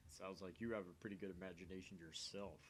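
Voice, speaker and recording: A man's voice: steady tone, quick pace, lightly acted. A man voicing a teasing, nerdy young male otaku from a visual novel, droll and full of questions. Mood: teasing, curious. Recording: faint noise with low rumble.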